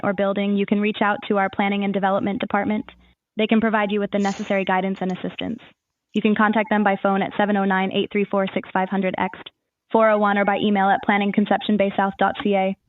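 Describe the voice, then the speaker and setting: Lady ai assistant voice, quick formal info answers, tinny phone sound, no echo. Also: mid-range voice, flat delivery. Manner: formal, measured customer-service phrasing. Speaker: AI voice assistant for a town's services. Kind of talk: scripted, formal informational answers.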